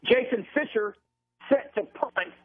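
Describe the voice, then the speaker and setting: An American man with a husky voice doing live football play-by-play as a radio announcer, over a narrow, tinny phone-line feed. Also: very high voice, slow pace. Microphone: live radio broadcast feed from stadium.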